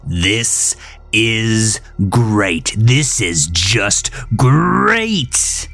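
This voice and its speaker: A man's voice: lowish voice, big pitch swings, vocal fry, pushed and bright, faint music behind, pitched above the performer's own voice, exaggerated voice. A man voicing a crude, gleeful and sinister imaginary male companion in an audio horror story, gloating and drawing out his words. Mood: gleeful, mocking. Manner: crude, gloating, drawn-out delivery.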